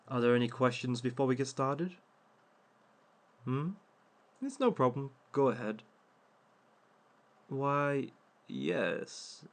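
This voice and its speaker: A man's voice: mid-range voice, gravelly. A man playing a smug male villain who hosts a dating workshop, speaking in a dry, teasing way to an unseen audience. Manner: dry, teasing, unhurried, talks to unseen listeners. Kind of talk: audio roleplay monologue.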